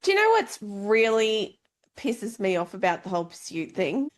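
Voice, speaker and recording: A woman's voice: medium pitch, very animated, moderate pace. A woman co-hosting a book club podcast, chatting informally. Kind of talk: casual book chat.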